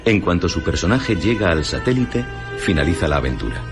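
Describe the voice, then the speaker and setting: A man with a Castilian Spanish accent presenting literary radio stories in a measured, dramatic scripted narration. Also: deep voice, big pitch swings. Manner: measured, dramatic storyteller delivery. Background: music behind the voice.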